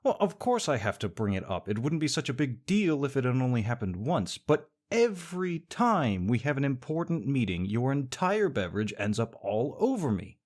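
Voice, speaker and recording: A man's voice: medium pitch, very animated, moderate pace, few pauses, darkish tone. A young man performing a scripted audio roleplay as a sarcastic, teasing rival co-worker. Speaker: voice actor playing a smug rival co-worker.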